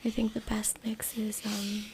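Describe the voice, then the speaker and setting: A woman whispers an ASMR reading in a breathy, unvoiced voice. Her delivery is hushed and informal, with little rise and fall. She is close to a USB mic, with clear background noise and clicking. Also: mid-range voice, completely flat delivery, measured pace. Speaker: ASMR creator. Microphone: close USB microphone. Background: tapping, scratching, clicks.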